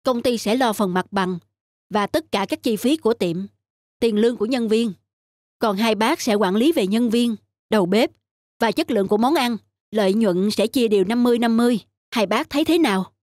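A woman's voice: on the high side, moderate pace, darkish tone, no echo, clean studio sound, natural voice. A woman voicing a courteous middle-aged male company representative in a cartoon, formal and businesslike. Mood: courteous, assured. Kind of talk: cartoon voice acting.

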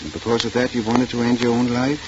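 An actor playing a kindly but firm family doctor in a 1940s radio drama. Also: male voice, mid-range voice, flat delivery, fast talker, natural voice. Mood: firm, probing. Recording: noisy, muffled 1940s radio recording.

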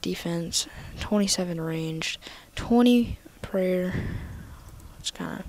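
A woman casually walking through her game inventory in a soft, half-whispered voice, a little nasal from a cold, recorded on a computer mic. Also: on the low side, takes it slowly, poor audio. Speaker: gaming video maker.

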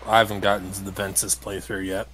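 A man's voice: sits low, level tone, quick pace, fairly bright tone, slight background noise, low-quality recording. A man playing a video game and commentating casually, with blunt, grumbling remarks. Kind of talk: casual gameplay commentary chat.

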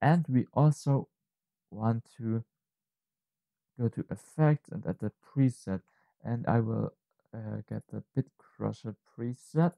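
A man gives an unscripted screen-recorded tutorial at an unhurried pace. His voice is soft-edged and mellow, and he explains each step hesitantly, often halting to find the next words. The sound is close and dry. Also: mid-range voice, creaky, dry recording. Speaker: tutorial presenter.

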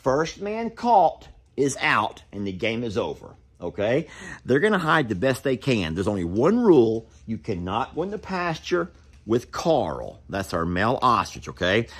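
A man's voice: medium pitch, huge pitch swings, a little slow, fairly bright tone, breathy edge. A man, hosting a casual farm vlog outdoors and filming himself on a phone at arm's length. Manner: folksy, explains to viewers, hollers to his kids. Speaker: vlog host. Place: outdoors on a rural property. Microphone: phone camera at arm's length.

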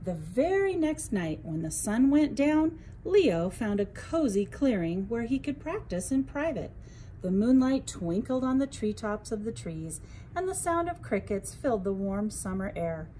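Female storyteller for children's picture book: lively intonation, slow pace, faint noise behind. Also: on the high side, poor audio. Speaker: librarian reading a children's story. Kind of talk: expressive children's bedtime story narration.

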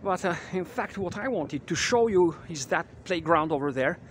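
A man's voice: sits high, lively intonation, moderate pace. Man narrating a street walk, hesitant. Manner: hesitant, many fillers and restarts. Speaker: walking tour guide. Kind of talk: casual walking tour narration. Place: quiet residential street outdoors. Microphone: handheld camera mic while walking. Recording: clear outdoor street noise.

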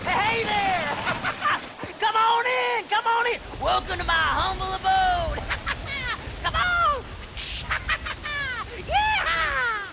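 An actor playing a manic, cackling Old West outlaw who hollers in a video game. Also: male voice, very high voice, lively intonation, drawn-out words, creaky, pauses often, heavily acted. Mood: gleeful. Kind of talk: video game character acting. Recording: distant voice in very loud outdoor noise.

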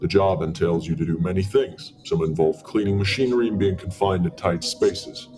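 A man playing a cold, sinister company interviewer in an analog horror film, speaking formally and in a measured way. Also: deep voice, fairly even intonation, music in the background, lightly acted. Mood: clinical. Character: cold, sinister male corporate interviewer, calmly menacing.

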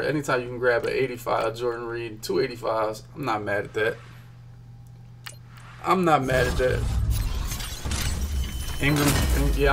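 A young man from Philadelphia rattles off card pulls in a loose, hyped stream commentary at a mid-range pitch. He talks straight to his chat from a small home room on a desk mic. A faint hum sits under his voice. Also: creaky. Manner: chatty, hyped, talks to chat while clicking. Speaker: gaming streamer. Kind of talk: casual pack-opening commentary.

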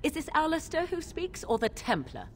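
A woman's voice: high voice, wildly swooping pitch, normal speed, long reverb tail, slight background noise. An actress playing a haughty, mocking young witch in a video game, sardonic in her delivery.

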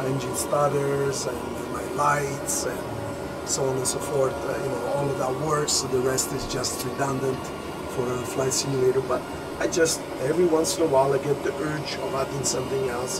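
A man's voice: mid-range voice, noisy background. A man casually touring the flight simulator cockpit he built at home, proud and chatty, full of fillers and restarts. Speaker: home simulator builder giving a tour. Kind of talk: casual off-the-cuff tour.

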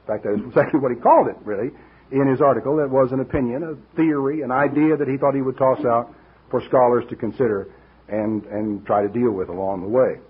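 An older man preaching an expository sermon, teaching emphatically with rhetorical asides, in a husky voice. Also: medium pitch. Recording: dull, lo-fi radio-like recording.

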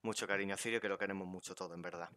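A man's voice: sits low, steady tone, rapid-fire, sharp tone, clear, resonant tone, no echo, clean studio sound. A young man from Spain with a Spanish accent, hosting a casual podcast chat on a headset and joking around with his guest. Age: young adult. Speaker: podcast host. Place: bedroom streaming setup.

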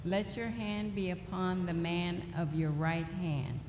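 A middle-aged woman reading scripture aloud at a church lectern in a slow, deliberate way. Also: on the low side, fairly even intonation. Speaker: lay reader. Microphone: lectern microphone. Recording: echoey church hall with faint noise.

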